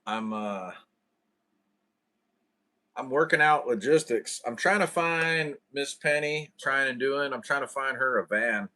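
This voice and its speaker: Man, bright and forceful timbre, easygoing host who talks to viewers like old friends. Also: medium pitch, measured pace. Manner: laid-back, slangy, greets viewers. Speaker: livestream host. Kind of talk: casual chat with viewers.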